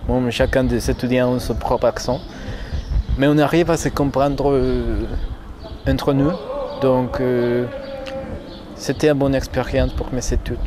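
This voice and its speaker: A young man with a Mexican accent, an exchange student answering a street interview in hesitant learner's French, pausing to search for words. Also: medium pitch, fairly even intonation. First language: Spanish. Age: young adult. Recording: noisy outdoor recording.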